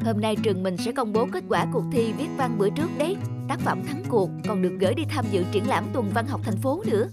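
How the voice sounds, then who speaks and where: A woman voicing a chummy, eager male student in a cartoon, brisk and encouraging. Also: fairly high pitch, expressive, loud music behind the voice, close to an everyday voice. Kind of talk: cartoon dubbing.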